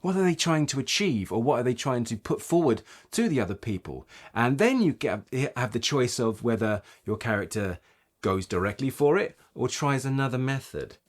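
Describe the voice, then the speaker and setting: A man's voice: medium pitch, expressive, normal speed. A man giving an instructional talk as a voice coach, explaining points emphatically and checking in with short rhetorical questions. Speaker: voice acting coach.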